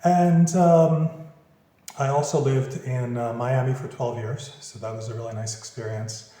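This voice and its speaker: Mellow-voiced man in an echoey room. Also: medium pitch, steady tone, unhurried. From Philadelphia, USA. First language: Greek. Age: 50s. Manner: easygoing, practical advice-giving. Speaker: interviewee. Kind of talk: casual interview answers.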